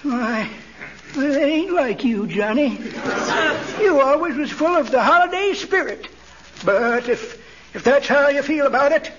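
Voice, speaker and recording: A man's voice: very high voice, expressive, measured pace, crowd noise. An actor playing a cheerful, pushy old card salesman in a radio comedy, with a cackling old-man voice and a folksy way of reciting. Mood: wheedling. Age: older. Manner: folksy, reciting corny rhymes. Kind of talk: 1940s radio comedy acting. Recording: noisy, muffled old radio broadcast.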